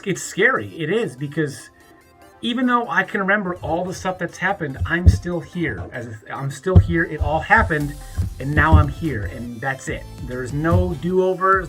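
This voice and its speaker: A man in his thirties talking alone to camera in a rambling, halting way, often restarting his sentences and sometimes breaking into profane ranting. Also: fairly high pitch, lively intonation, a bit bright, faint music behind. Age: 30s. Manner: rises into an angry, profane rant. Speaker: YouTuber. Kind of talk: reflective birthday vlog monologue.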